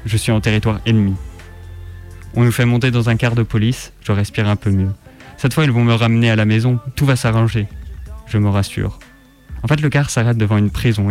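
A man's voice: lowish voice, darkish tone. A man reading a first-person story as dramatic scripted narration. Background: music.

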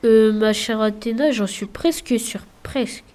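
A teenage boy acts a breezy young messenger god in an amateur audio drama. The voice is high for a male and soft-edged, with boastful, offhand slang. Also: unhurried.

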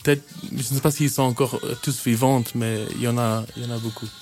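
A young man in his twenties, raised in Kenya, answers a youth radio interview at a middle pitch. He pauses often and restarts his sentences, and a faint bed of music plays under his voice. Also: fairly even intonation, darkish tone. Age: 20s. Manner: hesitant, many fillers and restarts. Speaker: youth worker, interview guest.

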